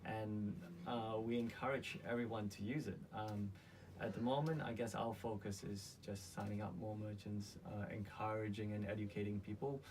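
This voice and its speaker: A man from Malaysia speaking as a guest in a casual interview, earnest and halting in his delivery. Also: lowish voice, level tone, a little mellow. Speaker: interview guest, company founder. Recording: distant mic, faint background noise.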